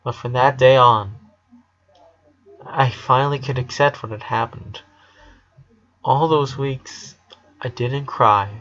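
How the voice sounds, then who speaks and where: Man voicing a grieving young girl, halting and sorrowful. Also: mid-range voice, a bit bright. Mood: quiet sorrow. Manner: halting, repeating words as if choked up. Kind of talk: storybook character reading. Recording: dull lo-fi sound.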